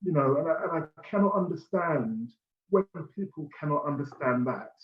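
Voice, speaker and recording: A man's voice: fairly high pitch, animated, moderate pace. Earnest man in an echoey room. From United Kingdom. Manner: earnest, repeats words when stressing a point. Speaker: panelist. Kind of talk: panel discussion. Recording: echoey, muffled room sound.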